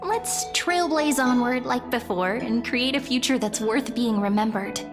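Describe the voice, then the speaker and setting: A woman performs a young video game heroine with a high voice, speaking over clear background music. She is gentle and hopeful, a devoted companion who encourages earnestly and teases lightly. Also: unhurried, close to an everyday voice. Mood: hopeful, fond. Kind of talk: scripted video game dialogue.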